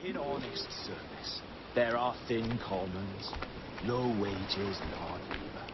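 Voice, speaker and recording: Proud old wolf of a pirate captain, lowish voice, theatrical, commanding, eloquent, video game cutscene. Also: male voice, dull audio, very noisy. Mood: rousing, oratorical. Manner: theatrical, grandiloquent, measured.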